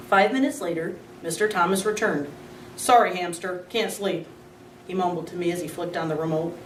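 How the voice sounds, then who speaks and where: A woman reading a children's novel aloud with lively, expressive storybook delivery, at a middling pitch. The recording is roomy and picked up from a distance, with a faint hiss underneath. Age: adult. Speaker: school secretary reading aloud.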